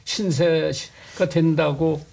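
A man's voice: on the high side, fairly even intonation, very slow, creaky, darkish tone. An older man hosting a conversational interview, asking blunt questions in an informal, familiar tone. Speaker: host.

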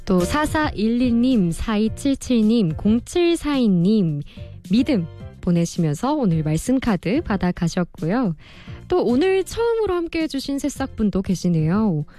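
A woman hosting a radio music show, reading listener messages in a polite, expressive presenter style. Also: on the high side, a little slow. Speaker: radio host and producer. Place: radio studio.

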